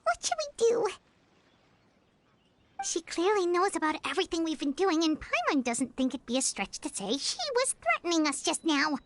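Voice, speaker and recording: An actress voicing a tiny, childlike female companion creature in a video game, squeaky, earnest and anxious. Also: very animated, normal speed, no room sound. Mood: worried. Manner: speaks of herself in third person, earnest.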